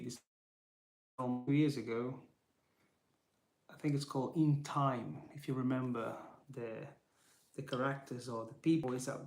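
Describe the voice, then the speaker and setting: Slow, reflective man in an echoey room. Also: mid-range voice. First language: Portuguese. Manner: thoughtful, searching for words, with restarts. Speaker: Bible teacher. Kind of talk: reflective teaching talk. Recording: roomy echo.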